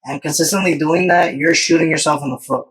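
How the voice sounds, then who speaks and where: A man's voice: fairly high pitch, steady tone, fairly quick, a bit bright, slightly rough, low-quality recording. A man from Washington Heights with a Dominican American New York accent, hosting a casual podcast chat and moving between English and Spanish. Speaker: podcast host.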